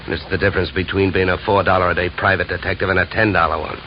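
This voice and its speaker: An actor playing a cool, wisecracking private detective with clipped, wry delivery in an old-time radio drama. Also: male voice, low voice, flat delivery, rapid-fire. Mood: wry, assured. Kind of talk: 1940s-50s radio detective acting. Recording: noisy, muffled old radio recording.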